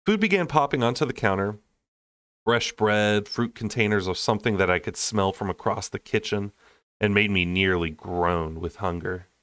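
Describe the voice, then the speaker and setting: A young man reads a story aloud. His voice sits a little low. He narrates expressively in audiobook style, and the recording is dry. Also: moderate pace, a bit bright, no room sound. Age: young adult. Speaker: storyteller reading a novel aloud.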